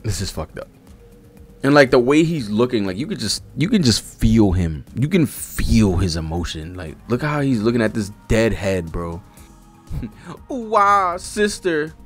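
A man in his thirties with a mid-pitched, very lively voice reacts live as a streamer. He talks in casual, sweary slang and slips into reading aloud. He is close on a desk microphone, with faint music underneath. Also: a little slow. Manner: animated, slangy, profane. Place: small indoor streaming setup. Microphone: close desk-arm microphone.

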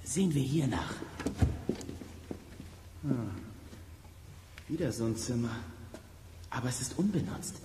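A man's voice: medium pitch, lively intonation, takes it slowly, long pauses, background noise. An actor playing a cheeky, joking boy detective in a children's radio play. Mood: wary.

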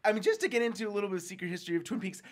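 A man with a fairly high voice co-hosts a fan podcast. He talks in a casual, conversational way, working through ideas as he goes. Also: lively intonation, racing. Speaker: podcast co-host.

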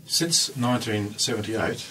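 A man's voice: lowish voice, expressive, unhurried, a bit bright. A man conducting an interview in a small radio studio, asking short, prepared questions. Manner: short, prepared questions with brief listening murmurs. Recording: distant from the mic, faint hiss.

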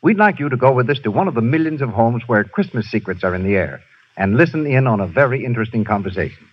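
A man announcing in a formal, smooth 1930s radio style. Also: mid-range voice, animated, fast. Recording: dull old radio broadcast.

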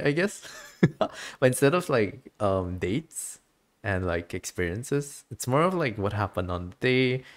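A streamer giving casual, chatty commentary on a game and reading its dialogue aloud. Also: low voice.

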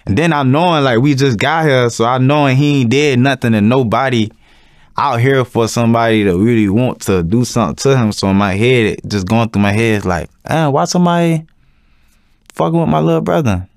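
A young man answering interview questions casually, with slangy, halting, repetitive phrasing. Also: mid-range voice, dry recording, studio quality. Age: young adult.

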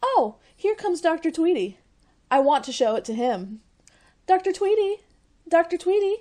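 A woman's voice: very high-pitched, sing-song, moderate pace, mellow. A woman playing an eager, naive young college girl, chirpy and gushing, in old-time radio comedy style. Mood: excited, gushing.

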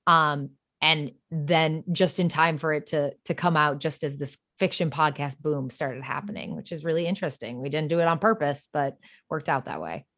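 Low voiced woman, podcast guest, chatty and gushy, says like a lot. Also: normal speed, fairly bright tone. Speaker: podcast guest, writer and fiction podcast creator. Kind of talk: casual chat.

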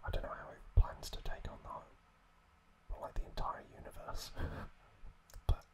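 A man whispering an ASMR story recap in a hushed, unhurried, hesitant way as the host.

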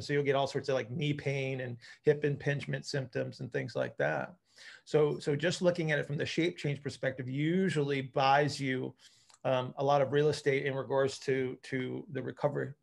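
A man's voice: medium pitch, vocal fry. A middle-aged man explaining technical ideas informally as a coach on a video call, repeating words as he thinks aloud. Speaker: coach and physical therapist hosting a group call. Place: indoor room at home, group video call.

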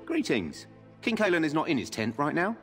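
An actor playing a dutiful, guarded soldier in a fantasy video game, speaking formally and earnestly. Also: male voice, on the high side, wildly swooping pitch, fairly quick, breathy edge, long reverb tail, faint music behind, heavily acted. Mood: guarded, confiding. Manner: formal, earnest, gossipy yet cautious. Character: dutiful, guarded male soldier guarding a war camp tent.

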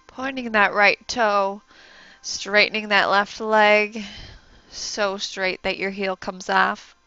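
A soft-spoken young woman, a yoga instructor, giving gentle, encouraging instructions and breathing cues. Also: medium pitch, fairly even intonation, slow, clean tone, no room sound, muffled recording. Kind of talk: guided yoga class instruction.

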